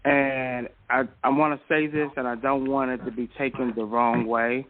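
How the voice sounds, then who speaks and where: Man, middle-aged, phone-in caller, muffled line, casual, repetitive measured phrasing. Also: medium pitch, flat delivery, slight background noise. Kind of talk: casual opinion.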